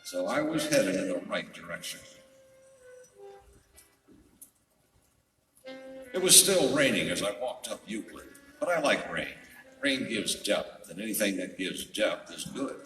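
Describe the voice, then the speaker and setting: A man playing a world-weary, wisecracking private detective in a noir radio drama, speaking in a wry, deliberate way. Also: on the low side, big pitch swings, a bit bright, soft background music. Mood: brooding, wry. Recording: echoey hall, distant mic.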